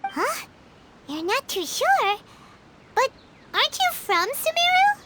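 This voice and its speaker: A woman voicing a tiny childlike flying fairy companion in a video game, squeaky, chatty and inquisitive. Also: big pitch swings, slow pace, very noisy, exaggerated voice. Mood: puzzled. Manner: chatty, inquisitive, refers to self by name. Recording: music behind the voice.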